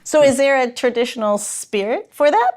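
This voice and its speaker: A woman co-hosting in casual chat, animated and jokey, quick to react to what others say. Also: high-pitched. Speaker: podcast co-host.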